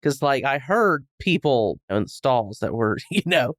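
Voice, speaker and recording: A man on a review podcast, chatting casually and joking in a halting, rambling way. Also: mid-range voice, big pitch swings, a bit bright, no echo, studio quality. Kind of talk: casual comedic chat.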